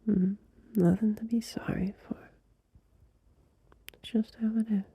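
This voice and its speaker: Male performer as a tender young boyfriend at night. Slow, breathy whisper with gravelly fry, close and dry. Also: high voice, flat delivery, no room sound, heavily acted. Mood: tender, sleepy. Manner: soft drowsy whisper, unhurried, with small laughs. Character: gentle, loving young boyfriend soothing a partner at night. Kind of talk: ASMR audio roleplay. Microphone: close mic.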